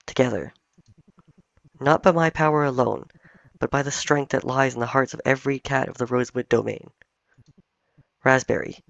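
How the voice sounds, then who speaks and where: A wise, ancient cat spirit guardian speaking in solemn, measured tones, as a man reads it out. The voice has a gravelly edge, and the dull, dry recording carries faint game music underneath. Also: medium pitch, no echo, lightly acted.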